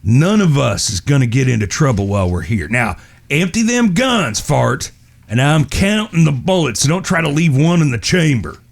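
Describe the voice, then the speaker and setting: An actor playing a stern, watchful American gunslinger older brother, with a firm, wry, folksy drawl in a western audio drama. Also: male voice, medium pitch, sing-song, moderate pace, gravelly. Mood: stern, resolved.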